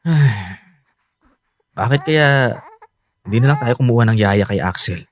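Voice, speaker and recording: Actor as a needy young Filipino husband, swooping pitch. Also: male voice, animated, a little slow, natural voice. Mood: pleading. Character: tired, needy young husband craving his wife's attention. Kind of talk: Filipino radio drama acting.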